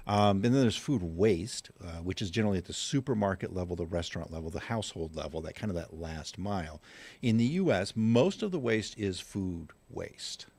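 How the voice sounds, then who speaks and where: A man in his sixties with a very low voice, speaking as a guest in a radio studio. He explains things in a calm, measured way, setting out his points one by one. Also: no room sound. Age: 60s. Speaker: guest, food bank chief executive. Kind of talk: radio interview answers.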